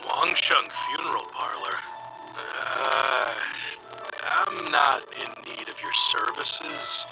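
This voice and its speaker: Curt townsman, lively intonation. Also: a little high, poor audio. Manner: curt, dismissive. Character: busy, irritated townsman brushing off a pushy seller. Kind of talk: video game voice acting.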